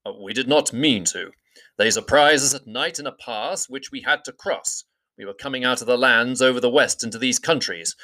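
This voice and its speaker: A man voicing an old wizard, measured and formal in speech. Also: mid-range voice, bright, forceful tone, pitched above the performer's own voice, natural voice. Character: old male wizard, courteous and grave.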